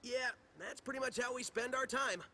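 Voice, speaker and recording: A man's voice: extremely high pitch, normal speed, very noisy. A man voicing a brash, confident young hedgehog hero in a casual, wry way for a video game cutscene. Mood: wry, determined.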